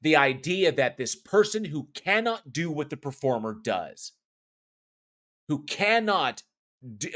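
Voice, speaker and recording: A man's voice: mid-range voice, bright, forceful tone. A man in his forties, a podcast co-host, talking passionately about a horror film in casual review chat, rambling with restarts and the odd curse. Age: 40s.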